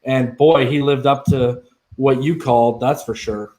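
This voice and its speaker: A man's voice: mid-range voice, steady tone, a little slow, darkish tone, poor audio. Opinionated man talking sports. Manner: emphatic, opinionated, says "man" a lot. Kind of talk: casual sports talk.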